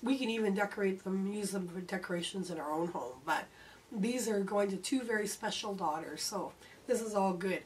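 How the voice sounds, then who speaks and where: A middle-aged woman hosting a quilting lesson, chatting warmly while she sews, her voice starting to go hoarse. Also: medium pitch, fairly quick, slight background noise, rough recording. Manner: chatty, homey, talks while sewing. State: voice going hoarse. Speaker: quilting channel host. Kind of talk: casual sewing tutorial.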